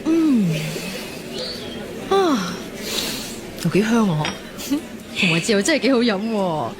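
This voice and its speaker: A woman acting a lively young university student in a radio drama, playful, defensive and wistful by turns. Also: medium pitch, slow, background noise. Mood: curious, cheerful. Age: young adult. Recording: crowd chatter behind the voice.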